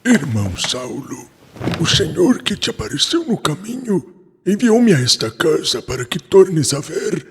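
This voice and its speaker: A man playing a calm, kindly old messenger of God, solemn and gentle, in a dramatized religious audiobook. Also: fairly high pitch, unhurried, gravelly, husky, heavily acted. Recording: echoey hall.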